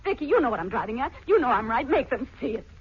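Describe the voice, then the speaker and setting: A woman playing a resolute, wounded young wife with measured delivery in a 1940s radio melodrama, on a muffled recording. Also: on the high side, very animated, breathy edge, heavily acted. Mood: pleading.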